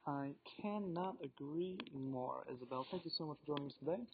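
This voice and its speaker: A man's voice: on the high side, fairly quick. A teenage boy hosting a student book podcast, reading his questions in a formal, slightly theatrical way. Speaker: podcast host. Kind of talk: scripted school podcast discussion. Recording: dull lo-fi recording with faint noise.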